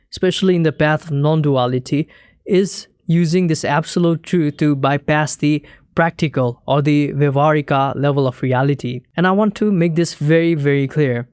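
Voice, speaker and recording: A young man from Nepal whose first language is Nepali, giving a calm, earnest, scripted talk as a spiritual teacher. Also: on the high side, clean tone, dry recording, studio quality. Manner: measured, earnest, explanatory.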